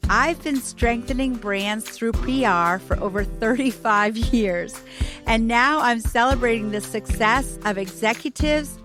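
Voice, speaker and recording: A woman hosting a business podcast, giving the intro and asking her guest questions in an encouraging way. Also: a little high. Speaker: podcast host.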